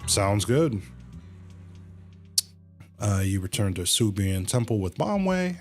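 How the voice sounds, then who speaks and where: A male streamer with a fairly deep voice talks at a slow pace over faint music. He rambles casually to his viewers, calling them y'all as he comments on the game. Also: very animated, darkish tone. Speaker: livestream host.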